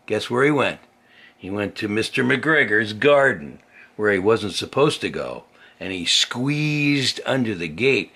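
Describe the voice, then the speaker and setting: An older man, a grandfather, reads a children's story to a young child with expressive, playful delivery and simple chatty explanations, in a husky, gravelly voice. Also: lowish voice.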